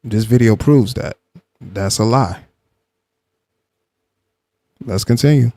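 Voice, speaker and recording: A man's voice: on the low side, slow pace, warm tone, no room sound. A man hosting a live stream, giving commentary and arguing with a caller in a blunt, insistent way that repeats points. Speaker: livestream host.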